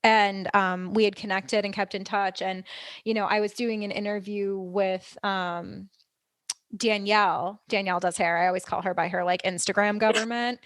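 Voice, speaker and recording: A woman's voice: medium pitch, fairly even intonation, normal speed, fairly bright tone, dry recording, studio quality. A young woman hosting a podcast, chatting casually and filling her talk with "like". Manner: chatty, many "like" fillers. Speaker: podcast host. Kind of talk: casual interview chat.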